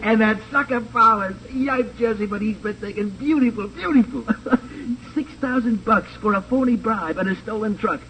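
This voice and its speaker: An actor playing a gleeful, gloating American small-time crook with slangy, chortling talk in an old radio crime drama. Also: male voice, high-pitched, normal speed, hardly any pauses, fairly bright tone. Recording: faintly noisy old radio recording.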